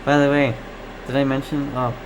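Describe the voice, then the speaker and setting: A man's voice: medium pitch, flat delivery, normal speed, background noise. A man hosting a casual live stream, chatting with viewers and thinking aloud in a rambling, distracted way. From Canada. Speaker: live stream host, radio hobbyist. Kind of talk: casual live-stream chat, reading and answering comments.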